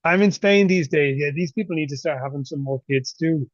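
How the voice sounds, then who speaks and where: A man's voice: a little high, a little fast, dry recording. Forty-something man on a dull, dry call line. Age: 40s. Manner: relaxed, chatty, laughs easily. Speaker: podcast host. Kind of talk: casual conversation. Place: home, on a remote call.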